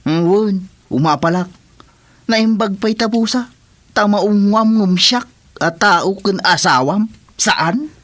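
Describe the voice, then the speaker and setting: A man's voice: high voice, takes it slowly, a bit bright, dry recording. A man playing a grumbling, put-upon village husband in an Ilocano comedy radio drama, with an Ilocano accent. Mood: irritated.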